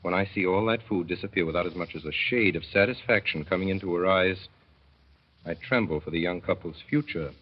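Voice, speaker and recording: A man narrating a 1930s radio drama as a father, in a wry, mournful storytelling manner that slows to dwell on sad images. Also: deep voice, slightly rough. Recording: dull old radio broadcast.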